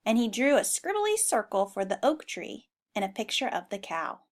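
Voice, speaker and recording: A woman reading a children's storybook aloud in an expressive style. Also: on the high side, normal speed. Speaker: storybook reader.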